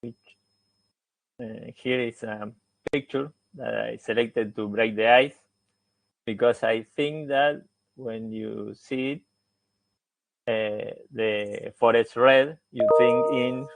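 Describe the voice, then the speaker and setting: A man's voice: lowish voice, vocal fry, no room sound, rough recording. A man with an Argentine Spanish accent, a native Spanish speaker, giving a researcher's seminar talk with many hesitant fillers and restarts. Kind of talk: academic presentation.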